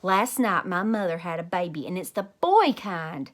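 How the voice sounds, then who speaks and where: A woman voicing a bossy, excitable kindergarten girl in a storybook, emphatic and childishly worded. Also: on the low side, normal speed, natural voice. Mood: excited, insistent. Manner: childish grammar, emphatic, blurting.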